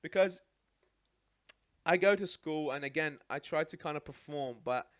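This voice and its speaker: A young man from Iraq whose first language is Arabic, recounting his life story as a podcast guest in a studio, chatty and animated, often adding "right?". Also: a little high, normal speed, dry recording. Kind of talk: casual storytelling chat.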